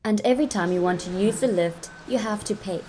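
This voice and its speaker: A woman's voice: medium pitch, a little fast, noisy background. A young adult woman with a South African accent, chatting and narrating casually as a travel vlogger. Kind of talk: casual vlog narration and chat. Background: music.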